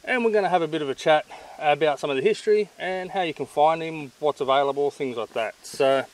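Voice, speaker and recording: A man's voice: on the high side, fairly quick, low-quality recording. A middle-aged Australian man hosting a casual outdoor vlog interview in an informal, matey way. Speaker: channel host interviewing. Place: outdoors at a garden table in bushland.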